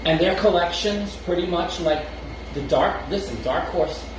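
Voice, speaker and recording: A man's voice: high voice, normal speed, far from the mic. A man with a Brooklyn accent co-hosting a chat show, rambling casually with chuckles and restarts. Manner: rambling, restarts often, chuckles between thoughts. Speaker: podcast co-host. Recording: very echoey room, off-mic, noisy.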